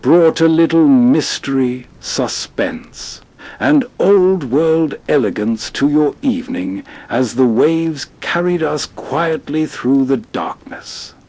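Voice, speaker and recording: A man's voice: medium pitch, animated, slow pace, darkish tone. A man hosting with scripted, bedtime-style narration. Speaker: host narrator.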